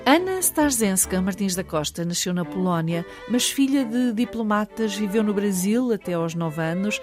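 European portuguese lady hosting a radio show, clear and articulate, faint music behind. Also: mid-range voice, animated, moderate pace. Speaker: radio host. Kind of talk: radio interview and narration.

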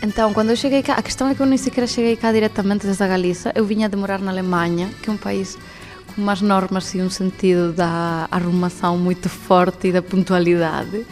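Galician woman, twenties, lively and laughing, faint music bed. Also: medium pitch, brisk. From Galicia, Spain. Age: 20s. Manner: lively, laughs often, mixes Portuguese and Galician. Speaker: guest. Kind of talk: radio testimony.

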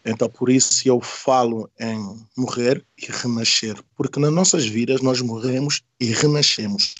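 A man's voice: mid-range voice, steady tone, moderate pace, dry recording. A middle-aged man from Senegal, speaking as an interview guest, telling personal stories in a casual, reflective, hesitant way that repeats phrases. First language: not Portuguese.

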